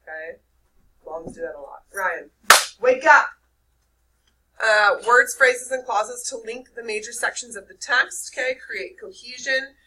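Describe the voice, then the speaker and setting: A young woman with a nasal voice teaching a high school English class, lively and checking in with her students. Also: unhurried. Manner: lively, checks in with "okay?" often. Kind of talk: classroom lecture. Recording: distant mic with faint room noise.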